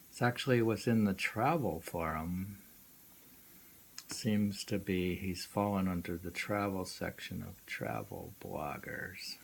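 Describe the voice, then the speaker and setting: Man, poetry reading with offhand remarks, very slow, gravelly, halting, repeated phrases, slightly noisy recording. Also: lowish voice. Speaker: reader and host. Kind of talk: reading poetry aloud with casual commentary.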